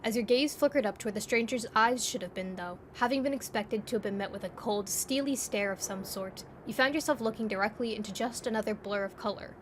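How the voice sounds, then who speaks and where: A woman's voice: medium pitch, fairly quick, hardly any pauses, slight background noise. A woman narrating a scripted second-person story as the narrator.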